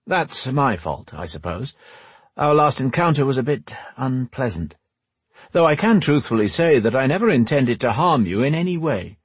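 Guy doing a smooth-mannered charming rogue for an audiobook, formal and friendly, dry sound. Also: medium pitch, big pitch swings, moderate pace, no room sound, voice pushed up. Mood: smooth, courteous. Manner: polished, formal, amiable. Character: suave, smiling male rogue, theatrically courteous. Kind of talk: audiobook character dialogue.

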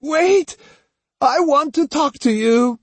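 A man voicing a fierce, suspicious old woman in an audiobook, scolding and commanding in a strained, shrill way. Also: big pitch swings, measured pace, warm tone, husky, dry recording, heavily acted. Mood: screaming. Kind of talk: audiobook character acting.

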